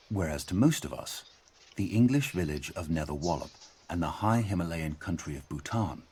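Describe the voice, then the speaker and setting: A man's voice: low-pitched, darkish tone, slight background noise. A man narrating an audio log, reading a scripted essay in a reflective, emphatic way.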